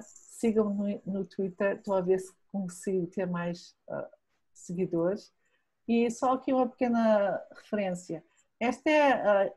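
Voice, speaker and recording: A woman's voice: mid-range voice, darkish tone, rough recording. Woman prof on a video call, lots of little self-corrections, chatty asides. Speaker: professor presenting. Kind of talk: online lecture.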